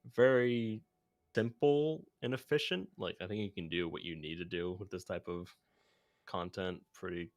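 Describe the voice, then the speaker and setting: A man with a deep voice, recorded close and dry. He talks like a streamer walking viewers through an editing job, informal and a little self-deprecating. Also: moderate pace, no room sound. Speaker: video editor and streamer. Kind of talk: casual livestream chat and editing walkthrough.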